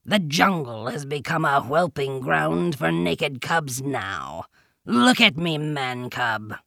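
Male reader as storybook tiger villain: scornful bully, slow, growling, sneering. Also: mid-range voice, expressive, creaky, fairly bright tone, no room sound, clean studio sound, heavily acted.

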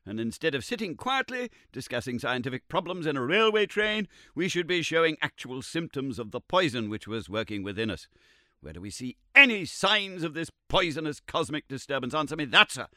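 A man voicing an irritable, sarcastic elderly male professor who scolds in a shrill, indignant voice. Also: sing-song, a little fast, hardly any pauses, pushed and bright, dry recording, studio quality. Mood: furious.

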